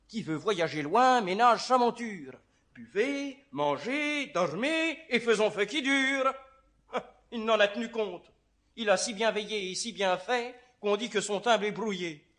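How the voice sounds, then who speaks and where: High-voiced comic servant. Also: male voice, a bit bright. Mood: mock concern, then laughing, incredulous. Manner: theatrical, laughing, declamatory. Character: comic talkative male servant doorkeeper, sly, grumbling, sleepy. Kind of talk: classical French verse comedy acting.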